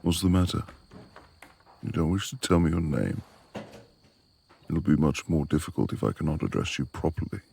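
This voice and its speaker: A man playing a gruff, reclusive lord of the manor in a romantic ASMR audio roleplay, with gravelly, formal, imperious speech and a tender streak. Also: extremely low pitch, sing-song, moderate pace, pauses often, darkish tone, a little hiss or hum, full character voice. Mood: stern, commanding. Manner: formal old-fashioned diction, imperious.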